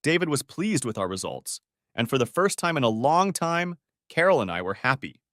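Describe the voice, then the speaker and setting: A man's voice: medium pitch, sing-song, moderate pace, a bit bright, no echo, clean studio sound. A man reading a scripted first-person story as its narrator.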